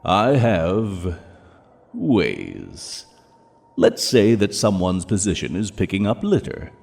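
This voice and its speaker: Man acting a deep, reverberant tyrant of Hell. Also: sing-song, creaky, darkish tone, husky, exaggerated voice. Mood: coldly commanding. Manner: measured, commanding, pompous. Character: stern tyrannical male ruler of Hell, menacing. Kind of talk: audio drama acting. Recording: long hall reverb.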